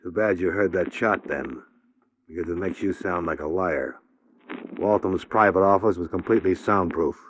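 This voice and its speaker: An American actor playing a cool, hardboiled insurance investigator in a 1950s radio detective drama, dry and clipped in delivery. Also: male voice, sits low, normal speed, no room sound. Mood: stern, controlled. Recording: muffled vintage radio recording.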